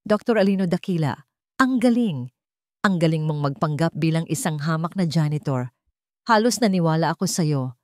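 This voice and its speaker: A woman's voice: deep voice, lively intonation, normal speed, darkish tone, dry recording, studio quality. A woman narrator voicing a cold, wounded, imperious billionaire mother in a dramatic story, accusing and cutting. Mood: cold, sarcastic.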